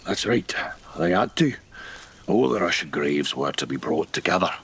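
An actor playing an elderly, gruff great-grandfather and retired railwayman in an audio drama, speaking in folksy, old-fashioned phrases. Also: deep voice, sing-song, moderate pace, fairly bright tone, full character voice. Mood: matter-of-fact. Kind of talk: naturalistic audio drama acting.

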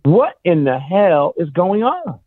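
A man's voice: high voice, big pitch swings, slow, no echo, narrow, tinny audio. A man in impassioned conversation, emphatic and rhetorical, leaning on repeated phrases.

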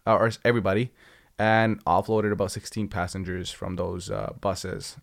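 A man with a low voice chats as a podcast guest. He explains things in long, rambling runs, restarting often and padding with fillers. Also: fairly even intonation, normal speed, creaky. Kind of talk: casual podcast chat.

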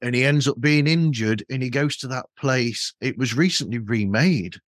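Low-voiced man, rambling casual podcast host. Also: normal speed, dry recording, clean studio sound. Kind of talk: casual conversational interview.

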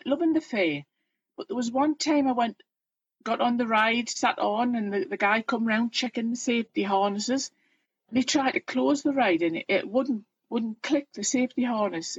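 Chatty Geordie woman, husky and close. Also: a little high, no echo, highs cut off. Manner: chatty, self-deprecating, plain-spoken. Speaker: guest. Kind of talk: candid personal storytelling.

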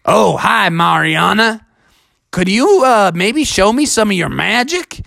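A storyteller playing an excitable, animated storybook adventurer. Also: mid-range voice, slow pace, gravelly, no echo, studio quality, voice pushed up, heavily acted. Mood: delighted. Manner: exclaiming, dramatic wonder. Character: excitable, wonder-struck male adventurer in a storybook.

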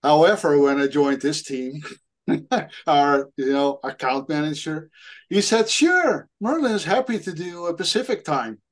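A man's voice: fairly high pitch, expressive, a little slow. Dutch-accented man, joking panel guest. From Netherlands. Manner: jokey, self-mocking, laughs often. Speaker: guest developer and coach. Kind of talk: casual panel chat.

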